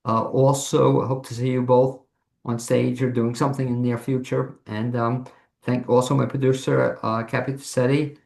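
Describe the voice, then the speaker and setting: Creaky-voiced male podcast host, roomy sound. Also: mid-range voice, little pitch movement, moderate pace, darkish tone. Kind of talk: interview questions and sign-off.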